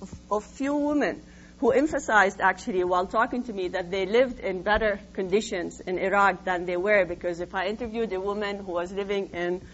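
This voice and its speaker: A woman researcher presenting her findings in an academic talk, explaining in a measured way with frequent hesitant pauses. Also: medium pitch. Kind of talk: academic lecture, semi-scripted. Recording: dull lo-fi sound with faint hum.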